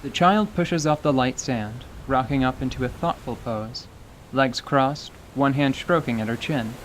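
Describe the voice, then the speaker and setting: A man narrating a scripted radio theater story in a measured, descriptive way. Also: mid-range voice, a little hiss or hum, low-quality recording. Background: faint music.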